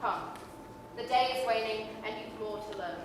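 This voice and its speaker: A woman's voice: a little high, normal speed, natural voice. A woman playing a stern, solemn Christmas spirit who rebukes mortals, in a 1930s radio-style staged reading. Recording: echoey hall, distant mic, faint noise.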